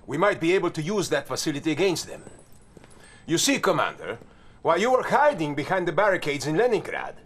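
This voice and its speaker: An actor playing a veteran Soviet general who talks in a sarcastic, condescending way. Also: male voice, on the high side, fairly bright tone. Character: sardonic veteran male Soviet general, scornful.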